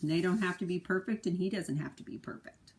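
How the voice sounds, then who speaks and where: A woman's voice: on the low side, quick pace, darkish tone, slight background noise. A woman speaking as a school librarian, giving simple, casual explanations of a picture book for children. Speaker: school librarian reading aloud.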